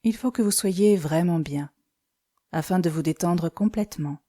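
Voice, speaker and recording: Low, mellow-voiced woman guiding meditation. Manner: calm, soothing, gently instructing in short phrases with pauses. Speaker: yoga nidra instructor. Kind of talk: guided meditation.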